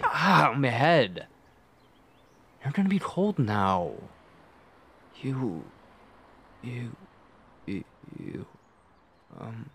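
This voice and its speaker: A man playing a nervous, lovestruck, obsessive young man in an intimate ASMR roleplay, stammering and muttering to himself in a breathy, husky voice. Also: medium pitch, a bit bright, full character voice. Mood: flustered.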